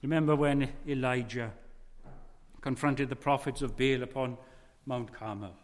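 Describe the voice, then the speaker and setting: A male preacher speaks in a mid-range voice, deliberate and solemn. His phrases often sink into near-whispers for emphasis. The sound rings with the long echo of a church hall. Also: mid-range voice, fairly even intonation. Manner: slow, weighty preaching with dramatic drops in volume. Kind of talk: sermon.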